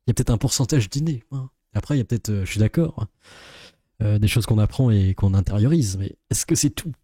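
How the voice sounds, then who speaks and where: Mellow, sceptical man reacting casually. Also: lowish voice, fast talker, no room sound, studio quality. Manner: sceptical, sarcastic, rambling. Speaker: YouTuber reacting to a video.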